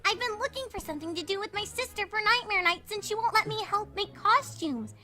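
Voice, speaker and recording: An eager little filly pony, very high and bright in voice. She exclaims with bubbly wonder in a storybook audio drama, with soft music underneath. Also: lively intonation, brisk, full character voice. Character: excited, eager young filly pony, female child. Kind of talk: dramatised fan fiction audio. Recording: music behind the voice.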